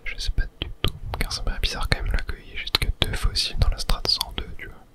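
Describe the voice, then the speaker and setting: Whispering ASMR gamer, breathy and unvoiced. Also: quick pace. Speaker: host playing a video game. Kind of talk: whispered ASMR game commentary. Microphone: close microphone.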